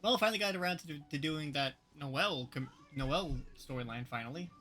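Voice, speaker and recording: A game streamer giving casual live commentary in an expressive, chatty way. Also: medium pitch, fairly quick. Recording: game music behind the voice. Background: video game music.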